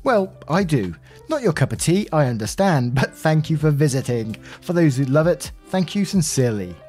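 A man reading a written story aloud as a podcast host, with expressive delivery and brief asides. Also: mid-range voice.

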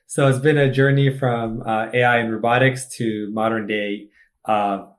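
A man in his thirties from Egypt, a startup founder chatting as a podcast guest, speeding up in animated bursts and leaning on "like" as he talks. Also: medium pitch. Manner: animated storyteller, fast bursts and filler "like". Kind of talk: casual interview chat.

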